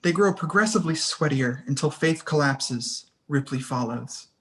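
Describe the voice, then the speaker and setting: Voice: mid-range voice, lively intonation, normal speed, fairly bright tone. A narrator reading scene headings and action lines in a scripted table read. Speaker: stage directions narrator.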